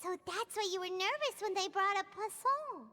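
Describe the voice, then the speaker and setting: A woman's voice: extremely high pitch, expressive, normal speed, bright, forceful tone, heavily acted. A woman voicing a tiny, chirpy, floating fairy-like companion in a video game, with an eager, sympathetic manner. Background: music.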